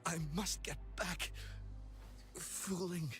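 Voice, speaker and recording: A man's voice: high voice, fairly even intonation, slow, music in the background. An actor playing an injured young male explorer in a game, weak and pleading. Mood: shaken. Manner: pleading, insistent.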